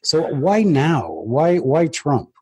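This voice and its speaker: Husky, mellow-voiced male interviewer. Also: on the high side, sing-song. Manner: hesitant, pauses with frequent "uh". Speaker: interviewer, host. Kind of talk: interview questioning.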